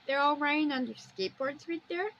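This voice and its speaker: A woman giving unscripted, halting commentary on pictures, rambling a little and repeating phrases. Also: sits high, a bit bright. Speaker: video host. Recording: dull lo-fi recording with background noise.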